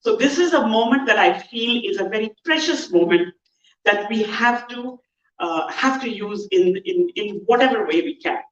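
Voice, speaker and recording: A woman with an Indian accent giving an earnest, measured keynote talk at a conference. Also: fairly high pitch, expressive. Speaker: conference speaker. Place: large conference hall. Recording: echoey, distant, dull hall sound.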